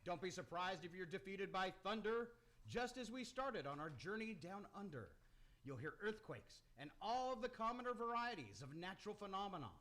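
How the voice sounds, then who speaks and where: A man's voice: high voice, expressive, moderate pace, fairly bright tone. A man hosting and narrating a live radio theater broadcast from a studio room. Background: background noise.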